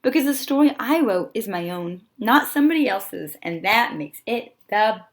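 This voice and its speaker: A woman reads a children's story aloud in a lively, fairly high voice. Her pitch moves around a great deal, and she phrases deliberately, pausing for dramatic effect as a storyteller does. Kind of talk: expressive children's picture book read-aloud.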